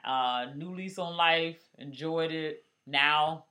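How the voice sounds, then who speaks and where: A man's voice: fairly high pitch, takes it slowly, sharp tone. Wry teasing man in his forties. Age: 40s. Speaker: podcast co-host. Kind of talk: casual chat.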